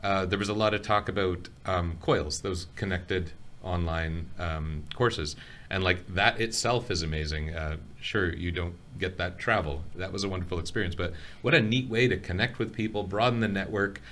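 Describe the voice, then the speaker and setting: A man on the college faculty speaking hesitantly on a podcast, pausing and restarting his sentences. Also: deep voice, a bit bright, slight background noise. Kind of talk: casual podcast conversation.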